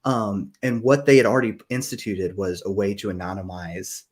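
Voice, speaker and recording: A man's voice: on the low side, steady tone, moderate pace. A man hosting a live-stream podcast, talking casually and enthusiastically with many fillers. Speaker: podcast host.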